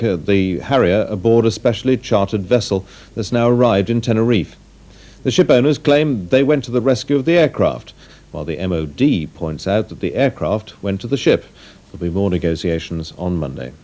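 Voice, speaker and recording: A man with a British accent reading scripted news in a measured, formal way. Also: on the low side, mellow. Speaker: newsreader.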